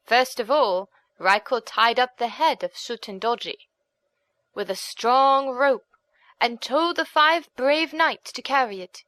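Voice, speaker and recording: Lady reading an old folk tale audiobook, high voice, takes her time, expressive, really dry close sound. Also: no echo. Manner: measured, expressive, dramatic storytelling. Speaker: audiobook narrator. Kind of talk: scripted storybook narration of a folk tale.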